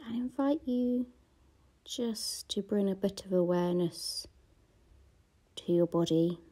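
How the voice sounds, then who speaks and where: A woman guiding a gratitude body scan meditation, speaking softly and slowly and often dropping into a half-whisper. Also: medium pitch. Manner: slow, soft-spoken, often half-whispered. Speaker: meditation guide. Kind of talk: guided meditation.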